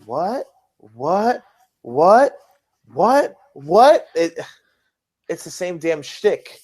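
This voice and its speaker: A man's voice: high-pitched, big pitch swings, extremely slow, a little mellow. A man co-hosting a casual wrestling talk podcast, blunt and critical, often repeating phrases to hammer a point home. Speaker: podcast co-host. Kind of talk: casual wrestling commentary chat.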